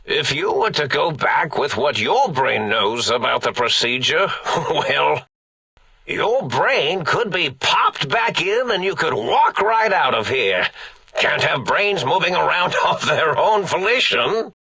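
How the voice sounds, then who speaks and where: An eccentric old mad scientist, a brain in a robot tank from a video game. The voice is raspy and fairly high for a man, and it takes its time. He dithers pompously, then swells into theatrical outbursts. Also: sing-song, slow, sharp tone, dry recording, exaggerated voice. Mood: musing, amused.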